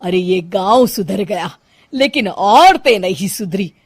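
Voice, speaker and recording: A woman's voice: medium pitch, animated, a little slow, slightly rough, dry recording, clean studio sound. A woman voicing a middle-aged city mother-in-law in a cartoon story, fond but complaining, with melodramatic exclamations. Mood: irritated. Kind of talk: Hindi cartoon moral-story acting.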